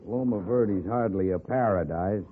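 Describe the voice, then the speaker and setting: An actor playing a wary, loyal wagon driver in an old-time radio western, plainspoken and uneasy. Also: male voice, on the low side, a little slow, lightly acted. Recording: noisy narrow-band vintage radio broadcast.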